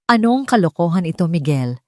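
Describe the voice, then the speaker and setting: A woman's voice: on the low side, very animated, fairly quick, no echo, studio quality. Woman voicing an imperious, angry old matriarch. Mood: indignant. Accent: Filipino. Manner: scolding, imperious. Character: angry, domineering rich old mother-in-law.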